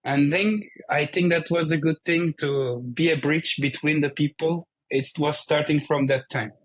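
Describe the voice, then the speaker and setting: Turkish-accented man speaking in a flat, even tone. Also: fairly high pitch, normal speed, no echo, rough recording. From Turkey. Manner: explains plainly in non-native English. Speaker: guest, company CEO. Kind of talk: casual interview conversation.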